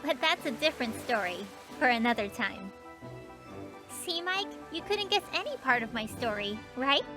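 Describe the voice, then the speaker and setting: A woman voicing a patient, knowing young girl who tells stories in an explanatory way in an animated children's series. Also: on the high side, moderate pace, lightly acted. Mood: knowing, instructive. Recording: music behind the voice.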